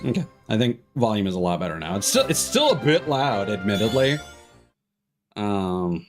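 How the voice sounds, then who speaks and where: A man's voice: lowish voice, sing-song, normal speed. A man giving casual gameplay commentary as a streamer, muttering and exclaiming at the game as he plays. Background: video game music.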